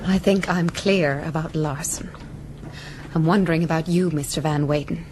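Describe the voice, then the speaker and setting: A woman's voice: deep voice, steady tone, normal speed, a little mellow, a little hiss or hum, close to an everyday voice. An actress playing a composed, principled lady castaway, speaking politely and earnestly in a radio drama. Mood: earnest, reproachful.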